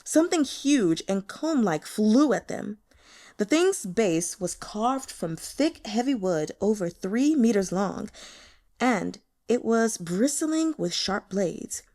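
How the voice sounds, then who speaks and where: A woman narrates fiction in an expressive audiobook style. Her pitch is lively and varied as she reads. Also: takes it slowly. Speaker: audiobook reader.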